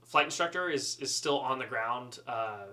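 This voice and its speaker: A man telling a casual, humorous story in an animated, chatty, self-deprecating way. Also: mid-range voice, moderate pace. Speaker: student pilot storyteller.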